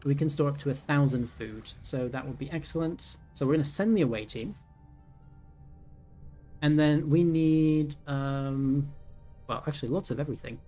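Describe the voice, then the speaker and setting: A man's voice: on the high side, moderate pace, warm tone. A man in his thirties giving casual let's-play commentary, thinking aloud with many ums and self-corrections. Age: 30s. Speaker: gaming YouTuber. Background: faint game music.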